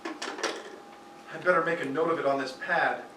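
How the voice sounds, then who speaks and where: Female old-time radio comedy role, acted by a woman, measured pace, distant stage sound. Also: deep voice, fairly even intonation. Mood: brisk, theatrical. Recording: echoey live stage, distant, noisy.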